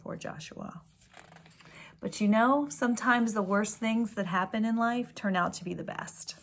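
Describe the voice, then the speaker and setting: A woman reading a children's storybook aloud like a teacher, with expressive delivery and gentle asides. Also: medium pitch, creaky. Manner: slow, deliberate, expressive storytelling.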